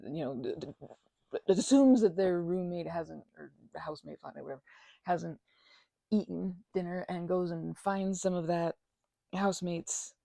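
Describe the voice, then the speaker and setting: A woman's voice: lowish voice, creaky, mellow, dry recording. A woman performing a one-sided ASMR roleplay as a chatty, affectionate housemate, talking casually in a playful, teasing, stammering way. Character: chatty affectionate female housemate recovering from migraine.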